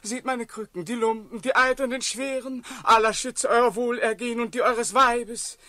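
An actor playing a ragged crippled beggar in a radio play, imploring and cryptically ominous. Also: male voice, very high-pitched, fairly even intonation, pushed and bright, no echo. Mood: pleading. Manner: slow, wheedling, imploring. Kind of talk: German radio play acting.

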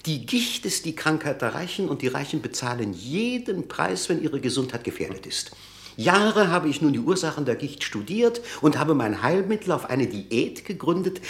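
Fussy, garrulous pharmacist, animated. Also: male voice, a little high. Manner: rambling, repeats phrases, sentimental. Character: fussy, garrulous provincial pharmacist, mournfully dwelling on the past. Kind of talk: German radio drama acting.

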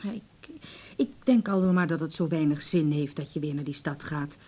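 A devoted middle-aged mother in a radio drama. Her voice is lowish and soft-toned, with a breathy, husky edge. She speaks hesitantly and pleadingly, full of worry for her grown child. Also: normal speed. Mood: worried, reproachful. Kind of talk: naturalistic Dutch radio play acting.

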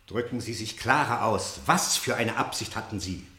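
An actor playing a stern military general who interrogates in a probing, sardonic and authoritative way in a radio play. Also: male voice, fairly low pitch, normal speed, pushed and bright. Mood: probing, sceptical. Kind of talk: German radio play acting. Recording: roomy, echoing studio radio play.

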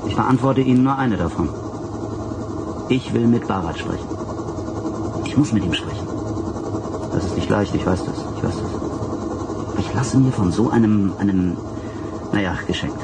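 Low-voiced, embittered state official. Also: male voice, moderate pace, creaky, pauses often. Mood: insistent. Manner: formal, emphatic, erudite. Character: embittered, principled male state secretary opposed to occupation. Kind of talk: radio drama acting. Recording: loud vehicle noise behind.

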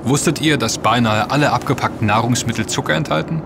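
A man's voice: medium pitch, fast talker, gravelly, background noise, lightly acted. An actor playing an earnest, knowledgeable man who explains and warns emphatically in a scripted audio drama. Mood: earnest warning. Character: earnest, knowledgeable man warning his friends.

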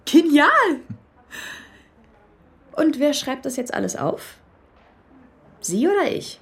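An actress playing a sharp, curious investigator in a German radio crime drama, inquisitive and expressive. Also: female voice, high voice, slow pace, creaky, full character voice. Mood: curious, excited.

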